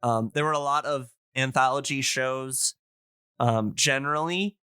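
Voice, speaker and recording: A man in a casual explanatory podcast chat, talking in a hesitant, halting way as he thinks aloud. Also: mid-range voice, bright, forceful tone, clean tone, dry recording, studio quality.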